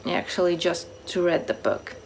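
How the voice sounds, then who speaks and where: A woman book vlogger chatting casually to camera in short, halting phrases that trail off softly. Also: lowish voice, lively intonation, gravelly, slight background noise. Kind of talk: casual chat to camera, answering a book tag.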